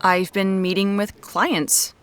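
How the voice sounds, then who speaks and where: An actress playing a brisk, evasive young woman amateur detective in an audio drama, dry and matter-of-fact. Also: mid-range voice, level tone, fairly bright tone, clear, resonant tone. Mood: evasive, hurried.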